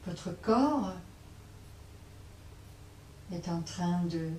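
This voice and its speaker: A woman teaching yoga nidra, guiding relaxation in a hesitant way, often pausing and repeating phrases. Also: fairly low pitch, animated, a little mellow. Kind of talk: guided relaxation instruction. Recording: roomy, distant mic, faint noise.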